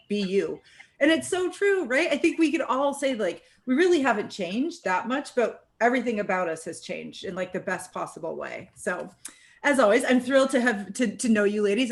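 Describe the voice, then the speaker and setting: Chatty encouraging woman host. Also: medium pitch. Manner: upbeat, encouraging, chatty with many "right?" tags. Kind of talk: casual group chat.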